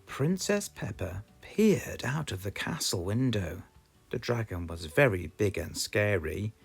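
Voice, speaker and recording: Man, British accent, storybook reader, husky, lively pitch, slow. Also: faint music behind. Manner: slow, expressive, sing-song storytelling. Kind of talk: children's storybook read-aloud.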